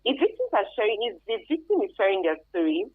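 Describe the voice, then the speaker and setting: A Nigerian woman speaks as an expert guest in an interview, with a very lively, mid-range voice. She is earnest and emphatic, often restating a phrase to press it home. The sound is thin and band-limited, like a phone line. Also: medium pitch, fast talker. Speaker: expert guest, career advisor. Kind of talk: interview, explanatory talk.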